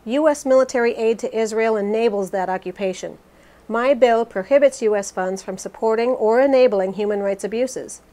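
A woman voicing a resolute, critical politician in a scripted interview re-enactment, formal and measured. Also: fairly high pitch, warm tone, lightly acted.

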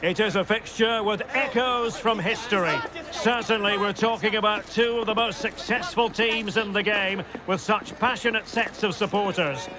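A man's voice: high-pitched, steady tone, normal speed, lightly acted. A man playing a TV football commentator, introducing big matches with dramatic, emphatic, grand scripted phrasing. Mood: keen anticipation. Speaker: sports commentator. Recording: clear stadium ambience behind voice.

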